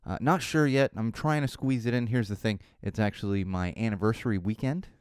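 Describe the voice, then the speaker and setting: A man in his thirties from Southern California, hosting a casual solo podcast, a bit hoarse, rambling and self-deprecating, with lots of fillers and restarts. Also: on the low side, fairly quick, a little mellow, no echo, studio quality. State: slightly hoarse. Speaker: podcast host.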